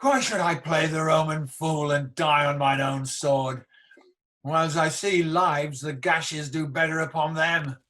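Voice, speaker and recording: A man's voice: a little high, a little slow, fairly bright tone, slight background noise. An amateur actor playing a doomed, defiant warrior king in a radio play, declaiming weighty Shakespearean verse. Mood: brooding. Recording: home recording over video call.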